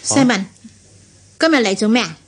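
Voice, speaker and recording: An actress playing a bitter, imperious rich matriarch in a radio drama, with a Hong Kong Cantonese accent. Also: female voice, fairly high pitch, big pitch swings, moderate pace, a little hiss or hum. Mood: irritable.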